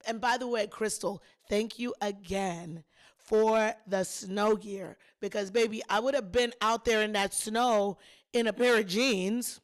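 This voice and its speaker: A woman hosting a podcast, a little hoarse, chatting and telling a story straight to her listeners with plenty of emphasis. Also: medium pitch, fairly even intonation, measured pace. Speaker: podcast host. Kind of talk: casual solo storytelling.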